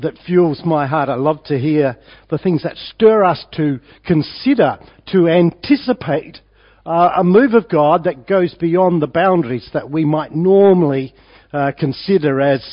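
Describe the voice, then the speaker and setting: Croaky older Australian pastor. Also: male voice, fairly high pitch. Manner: rambling, halting, many fillers and restarts. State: hoarse from a winter cold. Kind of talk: informal sermon preamble. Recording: dull lo-fi church recording.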